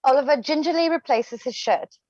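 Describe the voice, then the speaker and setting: Higher-pitched woman narrator, dull dry sound. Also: brisk, darkish tone, no echo. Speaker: stage directions narrator. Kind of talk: scripted narration.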